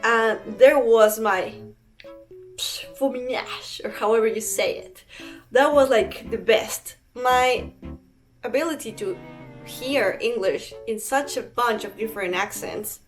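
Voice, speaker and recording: A young woman from Ecuador with an Ecuadorian Spanish accent, telling a rambling casual storytime vlog full of fillers and restarts. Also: on the high side. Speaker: vlogger.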